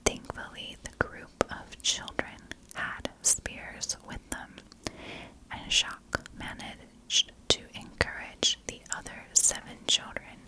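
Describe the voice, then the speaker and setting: A woman narrating a scripted tale in ASMR style, in a hushed and deliberate storytelling manner. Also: low-quality recording. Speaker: ASMR storyteller and channel host. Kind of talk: whispered ASMR scripted narration of a historical legend.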